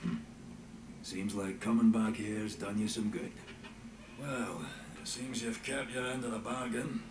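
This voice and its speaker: A man playing a gruff, teasing, loyal comrade with a folksy, bantering manner in a fantasy video game. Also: fairly low pitch, steady tone, a little slow, reverberant, far from the mic, background noise, natural voice. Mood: gruff, fond.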